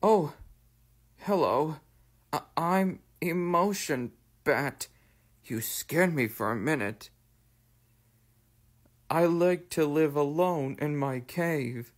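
A man voicing a timid, emotional, lonely cartoon bat, hesitant, stammering and pleading. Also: on the high side. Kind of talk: scripted character voice lines.

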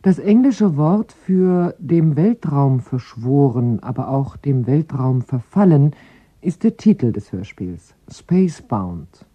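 A man giving a formal, measured introduction as a radio announcer. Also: medium pitch, sing-song, mellow, slightly rough, dull audio.

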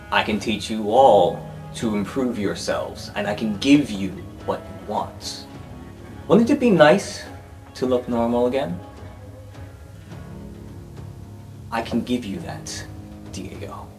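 A man voicing a calm, megalomaniacal villain headmaster in a tabletop game, smooth-talking and condescending. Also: medium pitch, a little slow, faint music behind, lightly acted. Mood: coaxing. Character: calm, megalomaniacal male supervillain academy headmaster.

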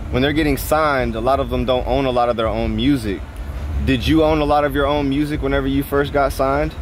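A male host with a mid-pitched voice asks polite, slightly halting interview questions. He is outdoors beside a car with a handheld recorder, and street and vehicle noise is clearly audible behind him. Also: steady tone. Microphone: handheld recorder held out to guest. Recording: noisy outdoor night recording, vehicle ambience.

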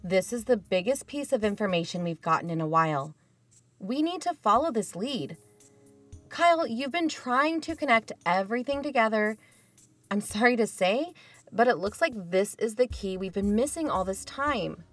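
A woman's voice: mid-range voice, expressive, normal speed, soft background music, close to an everyday voice. A woman plays a level-headed female investigator in a podcast drama. She sounds pragmatic and matter-of-fact, in a mid-range voice. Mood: weary, insistent. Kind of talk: audio fiction podcast acting.

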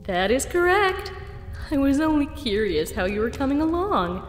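A sweetly menacing bug queen disguised as a kindly teacher pony, acted by a woman. The voice is high, swooping and very slow, steeped in long hall reverb. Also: very animated. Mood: saccharine. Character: sinister shapeshifting bug queen posing as sweet schoolteacher mare. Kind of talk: audio fan-fiction drama. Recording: echoey hall reverb, music behind. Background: background music.

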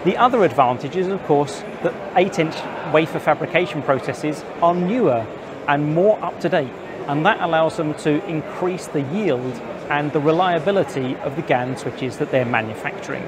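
A man's voice: a little high, normal speed. Man presenting on a noisy trade show floor. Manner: clear, informative presenting to camera. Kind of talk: scripted trade show reporting. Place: busy indoor trade show hall. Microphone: lapel mic.

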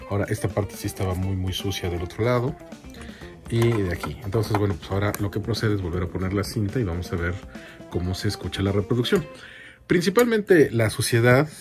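A man hosting a video, explaining in a casual, conversational way as he gives a hands-on demonstration. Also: fairly low pitch. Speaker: video host and audio gear collector.

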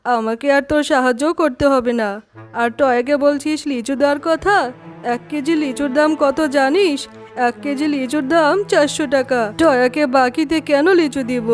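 A woman's voice: high-pitched, normal speed, soft background music, close to an everyday voice. A woman voicing a stingy, scornful tigress mother in a children's cartoon, talking in a brusque, scolding way. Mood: grumbling. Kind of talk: children's cartoon story dialogue.

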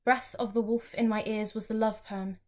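A young woman giving a dramatic poetry reading, with deliberate, measured phrasing. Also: mid-range voice, level tone, a little mellow. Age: young adult. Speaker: student reciting poetry. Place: small furnished room at home, indoors.

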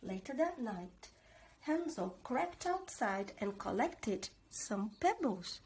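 A middle-aged woman reading a children's story aloud in an expressive, dramatic way. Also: a little high, slight background noise. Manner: slow, animated, dramatic. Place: living room, on a sofa.

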